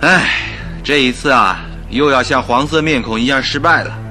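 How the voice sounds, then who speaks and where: A man's voice: mid-range voice, normal speed, sharp tone, soft background music. A man playing a detective's skeptical, nagging friend in a radio drama, grumbling and familiar. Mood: scolding, teasing.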